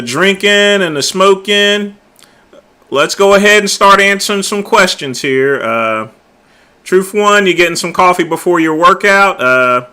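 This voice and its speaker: A middle-aged man hosting a casual late-night livestream, talking loudly and easygoing, chatting with his viewers. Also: high voice, clean tone. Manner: loud, laid-back, drawls filler words like "there". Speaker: livestream host.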